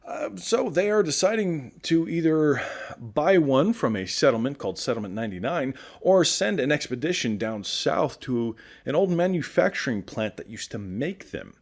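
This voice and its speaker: Guy doing a chatty nervous radio show host, audio drama, highish voice, really animated, trips over words a little. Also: moderate pace. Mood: upbeat, chatty. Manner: chatty, stammering. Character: nervous, friendly male radio show host after the apocalypse.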